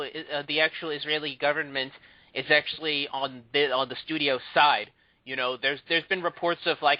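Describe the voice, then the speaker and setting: A man's voice: fairly high pitch, no room sound. A man hosting a casual movie news show, rambling with many fillers and restarts. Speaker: podcast host. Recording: dull, dry lo-fi sound.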